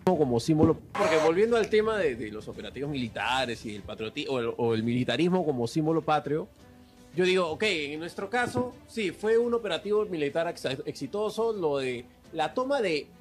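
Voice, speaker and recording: A man giving casual opinions, halting and fond of rhetorical questions. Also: fairly high pitch, expressive, faint music behind. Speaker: podcast commentator in a played clip.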